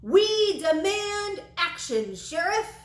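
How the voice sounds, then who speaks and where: An indignant storybook townsman, acted out by a woman, booming his demands. The words are stretched out, loud and high, in a roomy space. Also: very animated, slow pace, exaggerated voice.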